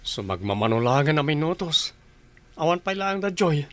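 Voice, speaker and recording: A man plays a young groom in a radio drama, earnest and anxious. His voice sits fairly high and he speaks respectfully, with an Ilocano accent. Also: very animated, moderate pace, fairly bright tone. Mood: worried.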